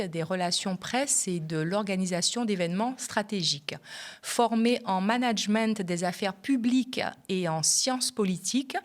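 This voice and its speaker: A woman speaks as the host of an interview programme. Her voice sits at an average pitch for a woman, and she presents and questions her guest. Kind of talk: scripted introduction and interview questions.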